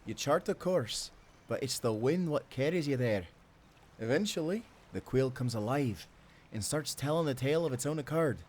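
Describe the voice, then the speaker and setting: An actor playing a devoted, playful sailor husband with a Scottish accent, speaking tenderly in old-fashioned seafaring language for a romantic audio roleplay. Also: male voice, medium pitch, lively intonation, normal speed, lightly acted. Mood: warm, eager. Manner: tender, teasing, given to soft chuckles.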